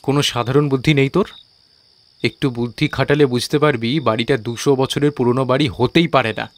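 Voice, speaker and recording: Male actor for scoffing young ringleader, ghost-story audio play; medium-pitched voice, cocky street-casual delivery. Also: steady tone, brisk, close to an everyday voice. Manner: mocking, colloquial. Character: young man, cocky, scoffing skeptic, leader of friends. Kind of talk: audio drama dialogue in a Bengali ghost story.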